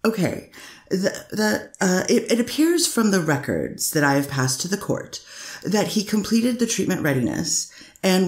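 A woman's voice: on the low side, reverberant. A woman voicing a careful lawyer, questioning a witness step by step in a courtroom reading. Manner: methodical questioning, many "is that fair to say". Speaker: defense attorney.

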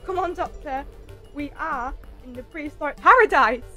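Very high-pitched, cheeky young woman, acted. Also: moderate pace, pushed and bright, faint music behind. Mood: excited. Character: young female companion, cheeky and excitable. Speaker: actress in a fan audio drama. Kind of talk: full-cast audio drama acting.

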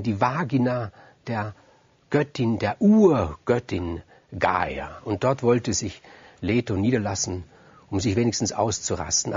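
A man's voice: mid-range voice, darkish tone, slightly rough, dry recording, highs cut off. A man telling myths freely, in a conversational way, repeating words for emphasis and speaking straight to his listeners. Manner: conversational, emphatic repetition, addresses listeners directly. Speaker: storyteller. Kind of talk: free oral retelling of Greek myths.

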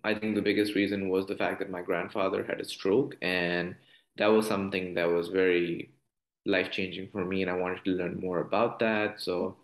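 A man's voice: sits low, little pitch movement, moderate pace. A young man in his twenties with a Pakistani accent, a doctor answering interview questions on a video call, earnest and full of "you know" fillers. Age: 20s. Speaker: guest doctor. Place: small room at home. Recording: echoey room on video call.

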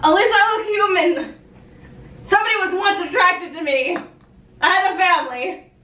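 A high-pitched, sarcastic villain played by a young woman in a homemade cosplay skit. The delivery is indignant and full of swearing. A little background noise sits behind the voice in a home kitchen recording. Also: normal speed, sharp tone. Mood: bitter, insulting. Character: foul-mouthed, exasperated male villain, a killer father in hell. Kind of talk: improvised cosplay role-play skit. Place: home kitchen and dining room. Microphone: handheld camera.

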